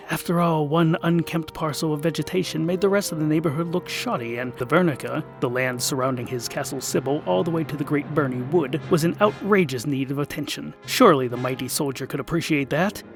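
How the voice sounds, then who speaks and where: Theatrical higher-pitched male storyteller over music. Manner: theatrical, mock-epic delivery with wry asides. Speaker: narrator. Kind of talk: scripted comic fantasy storytelling.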